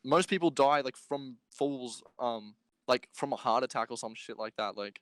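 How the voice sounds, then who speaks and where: Smooth-voiced young man, rambling and irreverent. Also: mid-range voice, normal speed, a bit bright, no room sound. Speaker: podcast co-host. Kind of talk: casual comedic chat.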